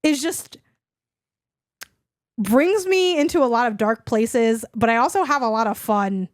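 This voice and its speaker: Hoarse young woman, rambling chat. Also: on the high side, lively intonation, measured pace, no echo, studio quality. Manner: rambling, heavy use of "like". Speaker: podcast co-host. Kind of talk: casual chat.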